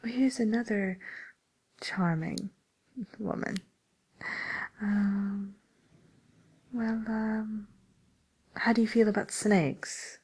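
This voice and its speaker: A woman playing a polite, attentive matchmaker for villains in a whispered ASMR roleplay, with gentle, hesitant, breathy delivery. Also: medium pitch, fairly even intonation, drawn-out words, long pauses, heavily acted, rough recording. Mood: politely helpful. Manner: gentle, hesitant, courteous customer-service delivery. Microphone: close microphone.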